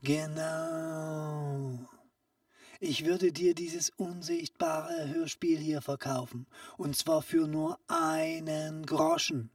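A woman playing a sly, secretive street peddler in a comic audio play, coaxing in a hushed, conspiratorial way that often drops into whispers. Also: deep voice, sing-song, very slow, vocal fry, dry recording, clean studio sound, distant mic, exaggerated voice.

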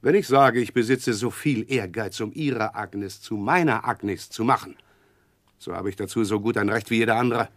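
A man's voice: lowish voice, moderate pace, dry recording, close to an everyday voice. Man doing a slimy humble-acting clerk, sly and spiteful underneath, old radio play, dry studio sound. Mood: indignant, brazen. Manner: obsequious, self-abasing, sly. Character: fawning, falsely humble, scheming clerk with hidden spite. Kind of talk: 1960s German radio drama acting.

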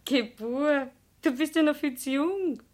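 A woman's voice: high-pitched, measured pace, a little mellow. An actress playing a pert young Viennese woman in a radio play, saucy and dismissive toward her suitors.

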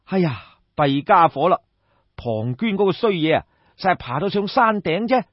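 A man's voice: sits high, very animated, moderate pace, no echo, muffled recording. A man with a Cantonese accent voicing a brash, crafty young schemer, exclamatory and coaxing, in a storybook tale. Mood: excited.

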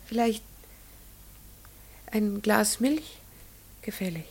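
A woman's voice: mid-range voice, lively intonation, extremely slow, creaky, darkish tone, husky, exaggerated voice. An actress playing a hospitable farmer's wife in an old radio play, eagerly welcoming a visitor into her kitchen. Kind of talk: 1950s radio drama acting.

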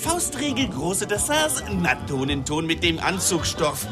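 A man's voice: fairly high pitch, very animated, unhurried. Cheeky joker shop salesman, showy patter w/ english bits, voice all over the place, music behind, radio play. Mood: showy, teasing. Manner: theatrical sales patter peppered with English phrases. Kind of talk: German radio documentary drama acting.

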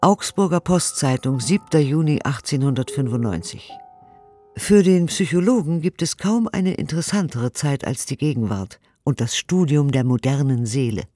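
A man's voice: a little high, lively intonation, normal speed, mellow, faint music behind. A man giving a formal, declamatory scripted reading of old documents for a radio play, close to a studio microphone. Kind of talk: scripted dramatic reading of historical press and court reports.

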